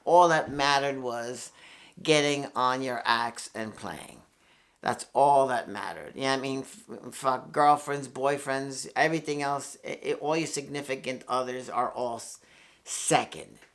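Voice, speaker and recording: A man's voice: medium pitch, a little slow, a bit bright. A man in his sixties from New York, a guitarist talking through a casual, rambling, candid monologue to camera, with frequent swearing and restarts. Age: 60s. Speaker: guitarist and channel host.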